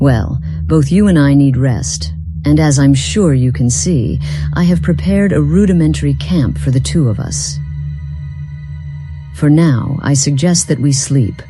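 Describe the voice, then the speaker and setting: Woman acting a very deep-voiced, solemn fantasy companion. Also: over music. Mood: solemn, thoughtful. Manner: formal, measured, solemn wording. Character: weary, once-proud companion, formal and reflective. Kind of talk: scripted dialogue for a fantasy game mod.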